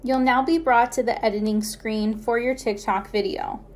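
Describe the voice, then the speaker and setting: A woman's voice: on the high side, fairly even intonation, normal speed. A woman reading scripted how-to narration as a tutorial narrator.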